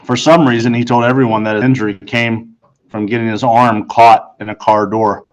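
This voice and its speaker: A man's voice: medium pitch, little pitch movement, normal speed, low-quality recording. A man narrating a first-person audiobook story in a formal, precisely worded manner. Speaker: audiobook reader.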